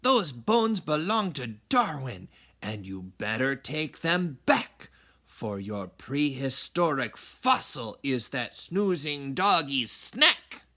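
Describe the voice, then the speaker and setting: A no-nonsense storybook mama, as a man puts her on, hollering and scolding up high. The voice rises and swings widely. Each order comes out slow and firm. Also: very animated, sharp tone, full character voice. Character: bossy hollering mother, no-nonsense.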